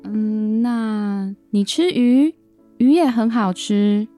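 Woman doing a patient coaxing mom, slow and mellow, soft music under her. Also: medium pitch, natural voice. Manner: gentle, coaxing. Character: patient mother coaxing a child to eat. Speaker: mother. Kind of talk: family radio drama acting.

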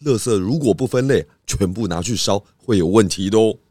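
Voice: deep voice, a little fast, warm tone, no echo, clean studio sound. An actor voicing a genial, curious male pig detective in a children's audio detective drama, with soothing, chuckling little interjections. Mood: concerned, explaining.

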